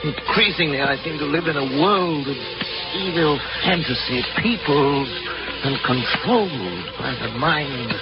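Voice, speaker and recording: Tormented scientist, intense, higher-pitched. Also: male voice, animated. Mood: haunted, brooding. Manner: intense, halting, dramatic. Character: tormented, obsessive scientist, a father slowly losing his mind. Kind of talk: 1940s radio drama acting with journal narration. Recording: muffled 1940s radio broadcast, music behind.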